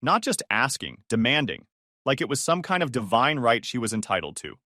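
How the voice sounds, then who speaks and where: Wry young man, lively pitch. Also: fairly quick, dry recording, clean studio sound. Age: 20s. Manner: sarcastic, wry storytelling. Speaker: narrator. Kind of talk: scripted first-person story narration.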